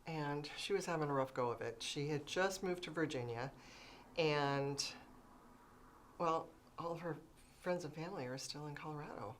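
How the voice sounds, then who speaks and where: Lady in her 60s, chatty story, really low voice, a bit of room sound. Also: normal speed, a little hiss or hum. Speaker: interviewee. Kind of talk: film dialogue.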